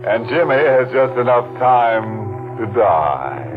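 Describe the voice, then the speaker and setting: An actor playing a sinister, chuckling horror host in a 1940s radio drama, gloating and slow. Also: male voice, medium pitch, big pitch swings. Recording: tinny old radio broadcast with music.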